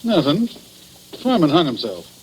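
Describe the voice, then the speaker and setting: A man's voice: a little high, huge pitch swings, a little slow, a little mellow. An actor playing a slack, defensive small-town night policeman in an old-style radio detective drama, folksy, ungrammatical and full of excuses. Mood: offhand. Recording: noisy radio-style recording with hiss.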